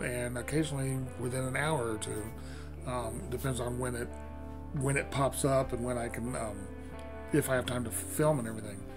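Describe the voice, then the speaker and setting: Middle-aged man, casual rambling chat, home room, webcam, faint music behind. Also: medium pitch. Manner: rambling, enthusiastic, frequent ums and restarts. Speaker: music reaction host. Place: home room with Halloween decor and lights.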